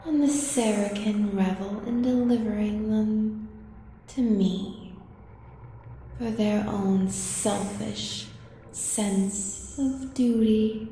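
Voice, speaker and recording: A woman voicing an ancient, cruel dark elven fiend who devours souls, savoring each word with long deliberate pauses in a monster audio roleplay. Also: mid-range voice. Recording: cavernous echo and long reverb, faint noise.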